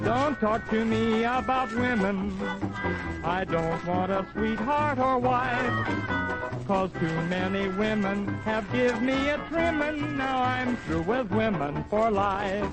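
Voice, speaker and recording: A man sings in a high voice with slow, drawn-out phrasing in a comic 1940s swing novelty style. A loud band plays along on an old radio recording. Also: poor audio. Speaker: band vocalist. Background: loud swing band music.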